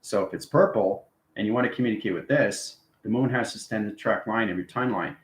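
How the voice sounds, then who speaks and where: The room rings noticeably around this man's fairly low voice. He teaches viewers informally, as if demonstrating step by step. His phrases come in fragments thick with esoteric jargon, punctuated by brief check-ins. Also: brisk, a little hiss or hum. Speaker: online spiritual teacher. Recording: roomy, echoing sound.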